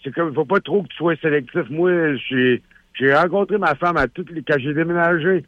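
A man's voice: fairly high pitch, moderate pace, dry recording. A man with a Quebec French accent calling in to a radio show, rambling and repeating himself as he chats casually. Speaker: caller. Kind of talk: casual call-in chat. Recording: muffled phone-line call.

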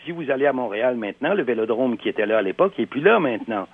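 A man's voice: mid-range voice, expressive, rapid-fire, husky, no room sound. A man giving emphatic radio commentary, often repeating words as he searches for them. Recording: dry phone-line sound.

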